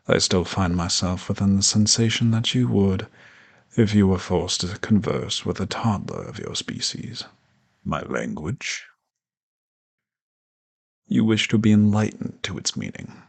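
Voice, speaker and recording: A man playing a haughty, ancient dragon professor in an audio roleplay, speaking with pompous, condescending, ornate formality in a gravelly, husky voice. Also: lowish voice, full character voice. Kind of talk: scripted audio roleplay monologue addressed to the listener.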